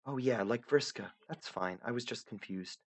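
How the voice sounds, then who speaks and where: A man voicing a polite, soft-spoken young troll girl who is lost and lonely, speaking in a hushed, earnest way. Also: mid-range voice, moderate pace, breathy edge, pitched above the performer's own voice. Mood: polite, puzzled.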